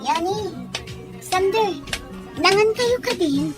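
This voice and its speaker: A woman's voice: very high voice, expressive, slow, over music, heavily acted. A woman playing an unhappy, dutiful young bride in a radio drama. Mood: polite.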